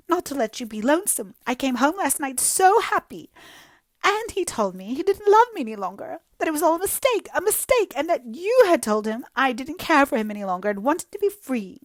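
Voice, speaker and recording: A woman's voice: sits high, dry recording, pitched above the performer's own voice. A woman reading a book aloud and voicing a melodramatic, self-pitying young woman with gushing, theatrical talk. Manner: gushing, theatrical, breathless overstatement.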